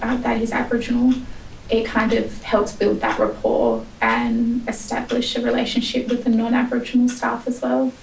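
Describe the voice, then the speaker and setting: Gravelly woman in a roomy space. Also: a little high, moderate pace, a little mellow, slight background noise. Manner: thoughtful, explanatory. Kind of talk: group discussion. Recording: roomy, distant recording.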